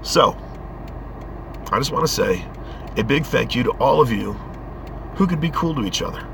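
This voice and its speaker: A man with a hoarse voice from a head cold, rambling and ranting as he vlogs while driving. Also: mid-range voice, expressive, normal speed. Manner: rambling, earnest rant. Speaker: vlogger. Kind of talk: casual driving vlog. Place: inside a moving car. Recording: car road noise.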